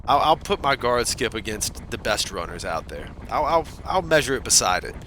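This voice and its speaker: A man's voice: medium pitch, sing-song, normal speed, sharp tone, a little hiss or hum. A man chatting casually with his live-stream chat while playing a game, talkative and self-deprecating. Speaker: speedrunner streamer.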